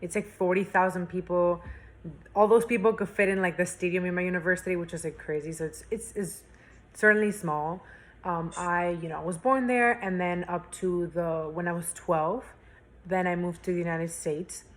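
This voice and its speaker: Chatty young woman, lower voice. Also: fairly even intonation, moderate pace. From Spain. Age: young adult. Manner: chatty, many fillers and restarts. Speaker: guest. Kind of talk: casual interview chat.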